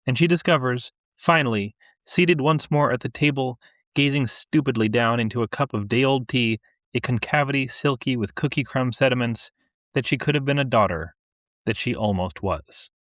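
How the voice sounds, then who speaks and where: A man reading literary fiction aloud and discussing it as a podcast co-host, in a measured, deliberate way. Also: medium pitch, fairly bright tone, no echo, clean studio sound.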